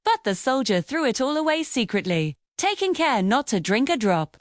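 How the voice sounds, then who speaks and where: A woman narrates a scripted fairy tale. Her voice is high and melodically varied. The sound is dry, with no room around it. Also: sing-song, normal speed, no echo. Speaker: storyteller.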